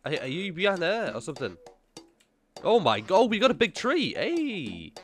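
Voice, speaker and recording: A man's voice: high voice, huge pitch swings, normal speed, a bit bright. A young man with a slightly nasal voice from a cold, casually commentating a gameplay video and chatting to himself. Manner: chatty, jumpy, talks to himself and the game. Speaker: gaming YouTuber.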